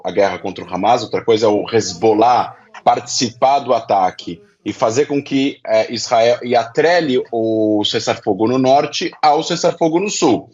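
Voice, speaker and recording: A man's voice: medium pitch, a little mellow, muffled recording. A man with a Brazilian accent giving didactic analyst commentary, laying out his points step by step. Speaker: political analyst, interview guest. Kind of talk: explanatory commentary.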